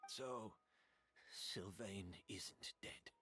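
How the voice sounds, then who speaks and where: A man's voice: fairly low pitch, drawn-out words, pushed and bright, full character voice. An actor playing an embittered, refined master perfumer in a video game, brooding and theatrical in his delivery. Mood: bitter, resigned. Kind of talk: scripted video game voice acting. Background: background music.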